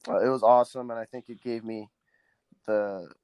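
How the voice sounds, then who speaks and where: A teenage quarterback from Southern California, a native Spanish speaker, answering interview questions earnestly with many ums and restarts. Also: male voice, mid-range voice, steady tone, moderate pace, a little mellow, clean tone, no echo. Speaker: high school quarterback guest.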